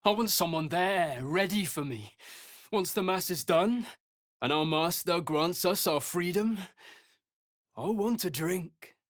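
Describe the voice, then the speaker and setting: A man's voice: sits high, lively intonation, takes it slowly, no room sound, studio quality. An actor playing an arrogant, sneering young male vampire spawn in a video game, haughty and taunting, with a drawling delivery. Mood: haughty, smug. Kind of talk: dramatic video game character acting.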